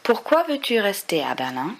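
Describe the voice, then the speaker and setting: A woman narrating an introduction and asking interview questions in a measured way. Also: medium pitch, sing-song, gravelly, low-quality recording.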